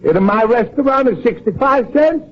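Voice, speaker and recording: Italian immigrant restaurateur, high animated voice, wheedling, emphatic broken English, Italian accent, dull old radio sound. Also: male voice, moderate pace. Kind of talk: old-time radio comedy acting. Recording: dull, noisy vintage radio recording.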